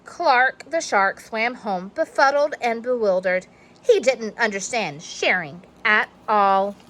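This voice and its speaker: A woman reading a children's storybook aloud in an expressive narrating style. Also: a little high, slow pace, slight background noise. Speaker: storybook reader.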